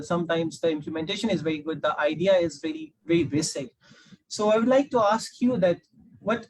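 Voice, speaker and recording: A man's voice: fairly high pitch, husky, rough recording. A man with a Pakistani accent moderating an online panel in a polite, affirming way.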